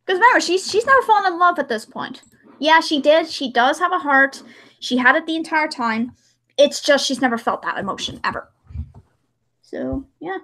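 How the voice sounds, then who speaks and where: Woman livestream host, high voice, casual and rambling, slips into acted-out remarks. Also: animated, moderate pace, bright, forceful tone. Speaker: livestream host and hobby voice actress.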